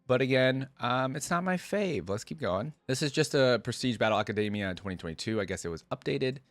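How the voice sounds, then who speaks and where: A man's voice: medium pitch, expressive, fairly quick, no echo. A man hosting a reaction video, chatting in a laid-back way as he comments and reads aloud. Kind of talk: casual commentary with read-aloud passages.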